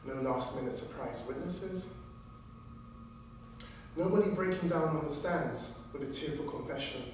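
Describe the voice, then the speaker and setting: An earnest male lawyer, played by a stage actor makes a slow, emphatic courtroom address with dramatic pauses. He is heard from far off in a very echoey space, with faint noise. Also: fairly high pitch, close to an everyday voice. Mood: solemn, measured. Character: earnest, deliberate trial lawyer addressing a jury. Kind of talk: theatrical courtroom monologue. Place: large echoing performance room, distant microphone.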